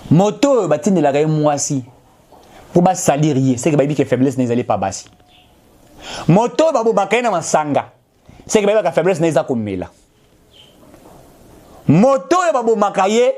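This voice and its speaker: Congolese man, middle-aged, Congolese French accent, fervent commentator, high animated delivery. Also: fairly quick, long pauses. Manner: emphatic and insistent, with repetition and appeals to the audience. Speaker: political commentator. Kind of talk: impassioned direct-to-camera address.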